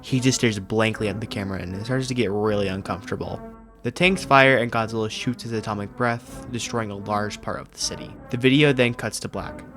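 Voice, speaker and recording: A man with a fairly low voice presents as an online video host. He reads scripted narration and commentary, and music plays softly underneath him. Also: fairly even intonation, a little fast. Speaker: YouTube host reviewing horror videos.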